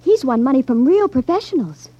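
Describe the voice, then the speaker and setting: A woman's voice: sits high, expressive, brisk, mellow. An actress playing a naive, girlish sister, gushing and wide-eyed, in 1950s radio drama style. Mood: admiring, excited. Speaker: radio actor. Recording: dull 1950s radio recording.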